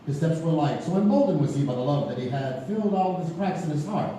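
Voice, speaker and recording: An actor playing a measured storyteller, narrating a live stage radio play. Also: male voice, a little high, lively intonation, dark tone, natural voice. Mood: storytelling. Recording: echoey theater, distant mic, faint noise.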